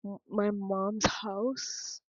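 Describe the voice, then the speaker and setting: A woman's voice: medium pitch, fairly even intonation, very slow, dry recording, dull audio. A young woman from Singapore with a Singaporean accent, thinking aloud in a rambling, frank vlog monologue. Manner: meandering, thinks aloud, self-interrupting, frank. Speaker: vlogger.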